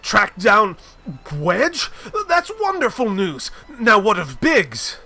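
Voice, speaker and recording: A man voicing a steady, earnest middle-aged male leader in a measured reading. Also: high-pitched, soft background music, pitched above the performer's own voice, heavily acted.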